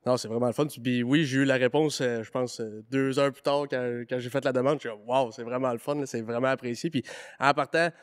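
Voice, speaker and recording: Chatty Quebec farmer hosting, dry sound. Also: male voice, medium pitch, fairly even intonation, brisk, no room sound. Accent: Quebec French. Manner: chatty, loose, many fillers and restarts. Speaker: podcast host and farmer. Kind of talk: casual podcast chat.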